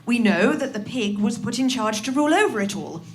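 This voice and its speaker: Actress as diplomatic female official, high-pitched, measured, placating, horror audio drama. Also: animated. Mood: explanatory, formal. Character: composed, diplomatic female interviewer from a secretive organization. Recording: background music under the voice.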